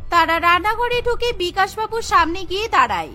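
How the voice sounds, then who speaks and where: A woman's voice: very high voice, very animated, a little fast, bright, forceful tone, soft background music. A woman narrating a scripted cartoon story as the storyteller.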